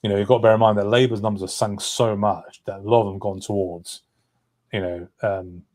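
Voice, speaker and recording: A man's voice: fairly low pitch, flat delivery, fairly quick, darkish tone. A man giving political commentary as a livestream guest, talking on with asides and self-corrections. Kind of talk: political discussion.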